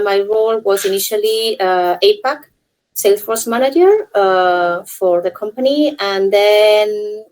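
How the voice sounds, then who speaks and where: A woman's voice: medium pitch, rough recording. A woman from Spain introducing herself casually on a video call. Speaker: meetup attendee.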